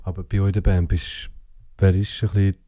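A man's voice: deep voice, level tone, fairly quick, warm tone, no echo, lo-fi sound. A man, co-hosting and asking short, probing interview questions.

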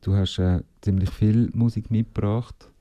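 A man's voice: lowish voice, steady tone, moderate pace, dark tone, lo-fi sound. A man hosting a radio interview, asking long chatty questions. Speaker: radio host.

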